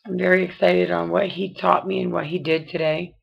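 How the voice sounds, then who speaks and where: A middle-aged woman with a raspy voice, tired and in pain, talking slowly to a webcam with many pauses in a personal video diary. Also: fairly low pitch, flat delivery. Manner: slow, weary talk with many pauses and fillers. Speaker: vlogger. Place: dim room at home at night. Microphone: webcam close up. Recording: dull lo-fi webcam audio.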